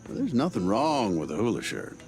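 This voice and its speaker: A man acting a jovial, wisecracking middle-aged fixer in a video game, with a teasing, folksy, expressive delivery. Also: on the low side, moderate pace, faint music behind. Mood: ribbing.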